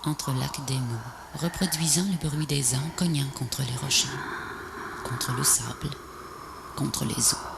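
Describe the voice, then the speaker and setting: A woman reads a poem slowly in short, solemn phrases. Her voice is gravelly with fry and breathy, often close to a whisper. The old tape recording carries clear background noise. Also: a bass voice. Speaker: poet narrator of a video poem. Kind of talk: poetic recitation, scripted voice-over. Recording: noisy VHS transfer, ambient noise.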